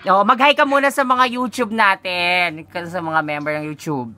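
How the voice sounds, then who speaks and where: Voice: medium pitch, fairly even intonation, normal speed, sharp tone. A Filipino vlogger with a playful, giggly way of talking, chatting casually while making prank phone calls.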